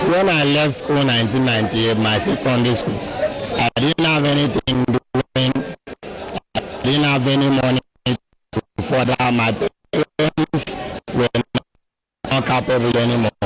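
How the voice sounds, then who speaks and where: A man, a cooperative president, speaking earnestly and exhorting young men at a covered market. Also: mid-range voice. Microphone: handheld reporter mic. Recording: lo-fi interview with music under it.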